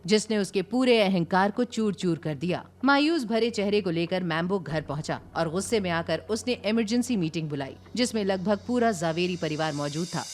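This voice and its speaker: A woman's voice: lowish voice, lively intonation, moderate pace, hardly any pauses. A woman narrating a scripted story in a dramatic storytelling style. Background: background music.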